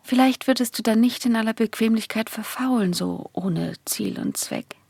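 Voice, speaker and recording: An actress playing a hardened communist agent in a German radio play, confiding in a condescending, insistent way. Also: female voice, on the high side, normal speed, creaky, darkish tone, slightly rough, dry recording. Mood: cool, hardened. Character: hardened female communist agent confiding in a naive young lover.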